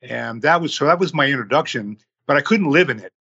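A man in his sixties, a podcast guest who tells his story slowly, in numbered steps. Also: mid-range voice, lively intonation, creaky, bright, forceful tone, husky, dull audio.

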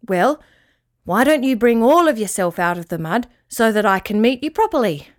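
A woman voicing a friendly old male dog in a children's story, speaking gently, politely and reassuringly. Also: on the high side, animated, normal speed, lightly acted.

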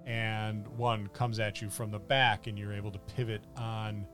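A man working as a game master, improvising halting, descriptive narration of a tabletop fantasy battle. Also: fairly low pitch, little pitch movement, fairly bright tone, soft background music.

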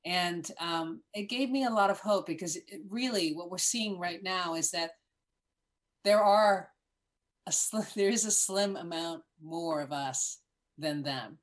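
A woman guest in casual conversation, telling an emphatic, animated story. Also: on the low side, a little slow.

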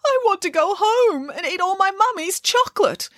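A woman's voice: mid-range voice, very animated, moderate pace, fairly bright tone, no room sound, studio quality, pitched above the performer's own voice. A woman voicing a naive, whiny little puppet boy from a children's storybook, childlike and wailing in manner. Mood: wailing, pleading.

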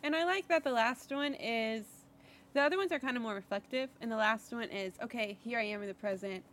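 A young adult woman co-hosting a podcast in casual chat, giggly and quick to agree. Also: on the high side, fairly bright tone, a little hiss or hum. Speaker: podcast co-host.

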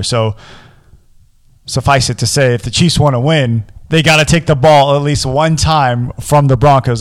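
A young man with a hoarse voice hosting a solo football podcast, giving casual but animated game analysis and often repeating words as he talks. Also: fairly high pitch. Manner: animated, repeats words, pumps up with singsong callouts. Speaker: sports podcast host.

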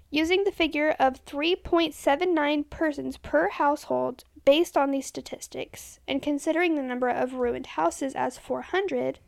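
A woman's voice: sits high, gravelly, a little mellow. A woman co-hosting a podcast, reading history notes in a casual chat and stumbling over names as she corrects herself. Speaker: podcast co-host.

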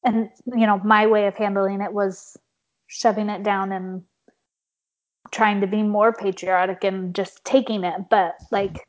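A young woman hosting a casual conversation, a little hoarse, saying "like" often with an uneven pace. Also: medium pitch, level tone, a little slow. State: slightly hoarse. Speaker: podcast host. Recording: dull lo-fi sound.

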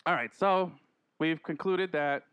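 Higher-pitched man, smooth, casual podcast host. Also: unhurried, no echo. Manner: animated storyteller, profane, slangy, acts out quotes. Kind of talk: casual chat, pop culture banter.

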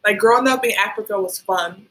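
Woman from liberia chatting as a guest, lots of ums and likes, mid pitch. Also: brisk, pushed and bright, rough recording. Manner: hesitant, many ums and likes. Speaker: guest, hair stylist. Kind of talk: casual interview.

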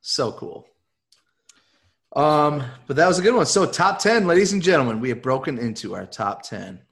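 A man's voice: a little high, lively intonation, moderate pace, gravelly. A man from Michigan hosting a casual group podcast, upbeat and rambling with lots of fillers. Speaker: podcast host.

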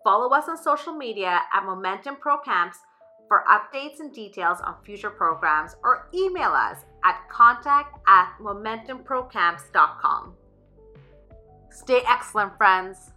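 A woman's voice: mid-range voice, animated, measured pace, sharp tone, faint music behind. A woman reading a scripted sponsor message in a polished promotional way. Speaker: sponsor announcer. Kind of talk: read sponsor ad.

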